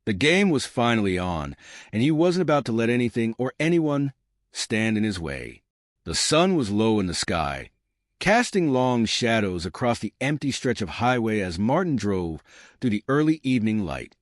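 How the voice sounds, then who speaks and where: A male audiobook narrator reads suspense fiction from a script. His voice sits at a middle pitch and moves with animated, wide-ranging intonation. The recording is very dry, with no room sound. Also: normal speed, no room sound.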